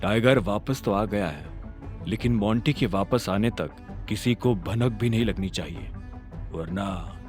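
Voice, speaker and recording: A man voicing a tough, stubborn gang member who speaks gravely and with measure in an audio drama. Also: lowish voice, unhurried, a little mellow, faint music behind, voice pushed down, lightly acted. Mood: grave warning.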